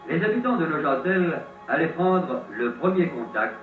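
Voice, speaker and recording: A thin, phone-like old soundtrack carries a male narrator with a lively, swooping voice. He tells his scripted story like a vintage French newsreel, with dramatic pauses. The sound is roomy, with faint music behind. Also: on the high side. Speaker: documentary narrator. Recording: old tinny band-limited film soundtrack, roomy, distant.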